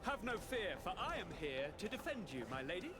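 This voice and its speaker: An actor playing a theatrical, pompous and enthusiastic young noble governor in a video game, with flowery speech. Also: male voice, high-pitched, big pitch swings, a little fast. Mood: buoyant, gallant.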